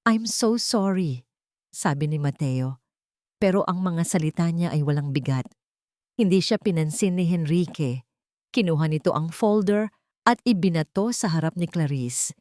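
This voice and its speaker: A woman reads a dramatic story as an audiobook narrator. She has a Filipino accent and a slightly low voice. The sound is dry, with no room around it. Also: a little mellow, no echo.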